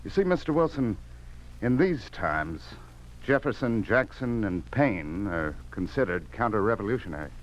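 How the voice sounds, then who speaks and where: A man's voice: on the low side, big pitch swings, moderate pace, slight background noise. An actor playing a weary, cynical newspaper editor in a 1950s radio drama, explaining things with resignation to his boss, on a muffled recording. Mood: resigned.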